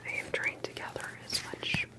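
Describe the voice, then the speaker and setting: ASMR interviewer role: soft breathy whisper throughout, earnest, careful researcher asking slow, deliberate questions. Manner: hushed, deliberate, halting questioning. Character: earnest, cautious alien abduction researcher and survivor. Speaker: ASMR performer playing an interviewer.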